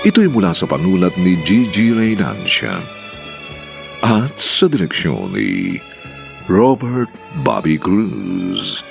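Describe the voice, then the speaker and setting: Casting: male Filipino drama announcer, very low, hugely animated delivery, slow and theatrical, over music. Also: creaky.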